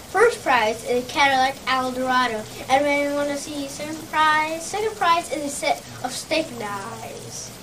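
A child performs a bullying sales boss in a theatrical puppet parody, with a strikingly high voice. The delivery is brisk, emphatic and full of scornful taunts, picked up at some distance over faint noise. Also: pushed and bright. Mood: scornful, commanding. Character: ruthless, bullying sales boss berating his underperforming salesmen.

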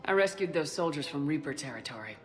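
A woman's voice: deep voice, animated, fast talker, faint music behind. A woman playing a composed, resolute military commander in a video game. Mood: businesslike.

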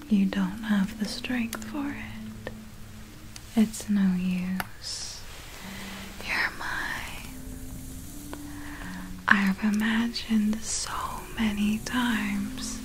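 Girl acting out a possessive lady vampire for asmr, super slow breathy whisper, tender but menacing. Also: mid-range voice. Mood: soothing, possessive. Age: young adult.

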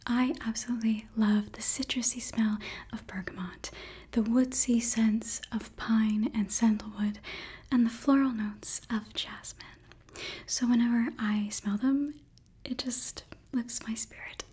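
Casting: young female ASMR host, very breathy soft whisper, mellow tone, close-miked product review. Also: on the high side, fairly even intonation, a little slow. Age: young adult. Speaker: ASMR host reading a sponsor ad. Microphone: close microphone.

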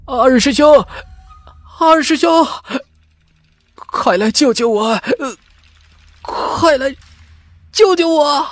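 A man voicing a gravely wounded young male martial artist in an audiobook, desperate and vengeful, shrieking and pleading in halting phrases. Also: very high-pitched, expressive, fairly bright tone, no room sound, pitched above the performer's own voice, full character voice. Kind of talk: acted audiobook dialogue.

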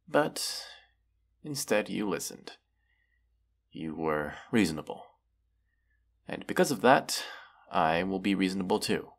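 A man's voice: low voice, vocal fry, full character voice. A man playing a proud, menacing, weary dragon who distrusts humans, talking haughtily in a fantasy audio roleplay. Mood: haughty, restrained. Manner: slow, deliberate, haughty, with long pauses. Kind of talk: scripted audio roleplay monologue.

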